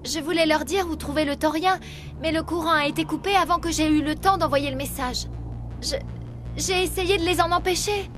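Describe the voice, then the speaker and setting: An actress playing an earnest, guilt-ridden young researcher in a dramatic game dub, speaking anxiously. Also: female voice, sits high, moderate pace, fairly bright tone, soft background music. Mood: remorseful, anxious.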